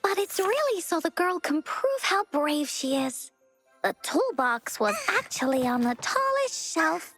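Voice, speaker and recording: A woman voicing a cheerful, imaginative young girl who tells adventure stories in an expressive, childlike way for a video game. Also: high-pitched, exaggerated voice. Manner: childlike, expressive, storybook-style narration. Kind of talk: video game voice acting, storytelling.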